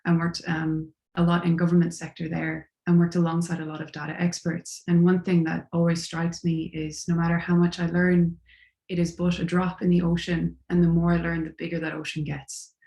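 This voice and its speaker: An Irish woman introduces herself on a panel, thoughtful and measured. Her voice sits low and fairly flat in pitch. It comes through with a noticeable room echo. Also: gravelly. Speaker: senior design strategist. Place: home or office near a city. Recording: roomy echo.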